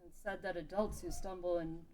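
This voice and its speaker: A woman's voice: fairly low pitch, fairly even intonation, a little fast, dark tone, slight background noise, close to an everyday voice, poor audio. A woman playing a protective, careful warrior guardian in a fantasy table read. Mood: resolute.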